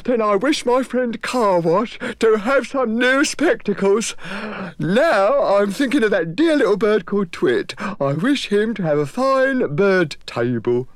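A man's voice: sits high, expressive, a little slow, no echo, pitched above the performer's own voice. A man voicing a cheerful, simple-minded male creature in a storybook reading, hesitant and stammering with fillers. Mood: eager. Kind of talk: storybook character voice.

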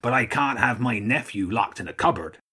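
Guy doing a husky old seagull boss for a kids story, blustery, bossy but shrewd. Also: mid-range voice, expressive, normal speed, pushed and bright. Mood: wry, relaxed. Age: older. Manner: blustering, barking orders.

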